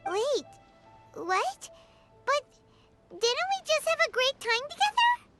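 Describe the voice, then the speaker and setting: A tiny floating game sidekick with an extremely high, childlike voice. She is chirpy and exclamatory and swoops up and down, with loud music playing behind her. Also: big pitch swings, takes it slowly, exaggerated voice. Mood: earnest. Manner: speaks of self in third person, exclamatory. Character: tiny floating girl companion, chirpy and excitable. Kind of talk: English game dub acting.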